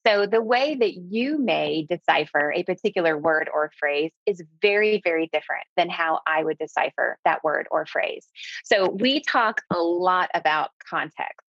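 A woman speaking as an interview guest, pressing her points and repeating words for weight. Her voice sits fairly low for a woman. The sound is close and dry, a little dull and lo-fi. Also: normal speed, no echo. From southeastern United States. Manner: emphatic, repeats key words, animated delivery. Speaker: guest, business owner. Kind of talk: conversational interview.

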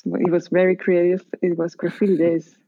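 A woman's voice: fairly low pitch, level tone, normal speed, no room sound. A woman from Carinthia with an Austrian accent, chatting casually as an artist being interviewed. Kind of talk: casual chat. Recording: dull lo-fi sound.

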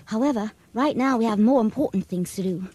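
A soft-toned schoolgirl, played by a woman in a radio drama. She is confident, bossy and earnest, a self-appointed expert on love. There is faint noise in the recording. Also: on the high side, a little fast, natural voice. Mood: confiding, assured. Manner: earnest, instructive.

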